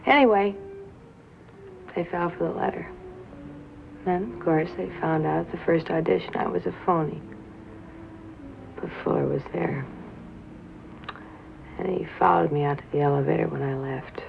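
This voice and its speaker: Tough boozy young american singer dame, 50s movie, lowish voice, sardonic and bitter, hissy thin old film audio. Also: female voice, normal speed, creaky, long pauses. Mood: wry, bitter. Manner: sardonic, drawling, dwelling on and repeating words. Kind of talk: 1950s film drama acting. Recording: tinny, hissy 1950s film soundtrack.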